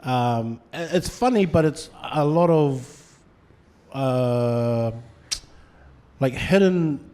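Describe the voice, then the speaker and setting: A man's voice: mid-range voice, expressive, extremely slow, dark tone, smooth. A man hosting a sports podcast, chatting casually, asking curious questions and agreeing often. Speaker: podcast host.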